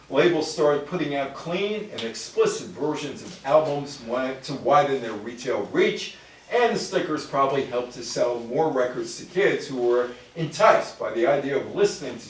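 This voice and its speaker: An older American man giving an informal lecture on music history to a live audience. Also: mid-range voice. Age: older, grandfather. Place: indoor room before a live audience. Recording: very echoey room, distant microphone.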